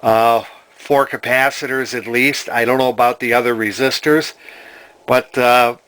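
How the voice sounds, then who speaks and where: A man's voice: medium pitch, fairly even intonation, measured pace, a bit bright, rough recording. A man giving casual hands-on repair commentary as a hobbyist, hesitantly thinking aloud as he goes. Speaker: hobbyist radio restorer presenting a repair video.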